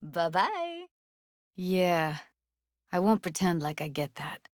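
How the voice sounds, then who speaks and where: A woman's voice: on the low side, sing-song, slow, dry recording, clean studio sound. A woman voicing a young, streetwise techie in a video game, blunt and guarded with tenderness underneath, speaking in casual, clipped slang. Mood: teasing, affectionate. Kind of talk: naturalistic video game voice acting.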